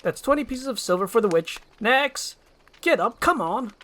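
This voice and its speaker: A man's voice: very high voice, lively intonation, normal speed, a bit bright, voice pushed up. A man voicing a bossy, impatient head guard with a curt, barking manner in a storybook reading.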